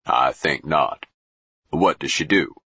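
A man voicing a dry, wry old doctor in an audiobook, terse and deadpan. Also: extremely low pitch, slow pace, husky, no echo, heavily acted. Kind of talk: audiobook character voice.

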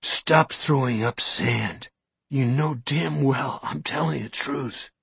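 A man reading as a determined, forceful man pleading and threatening. The voice is husky with a gravelly edge, close and dry. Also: medium pitch, slow, no echo, exaggerated voice. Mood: urgent. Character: determined, forceful grown man confronting a coward.

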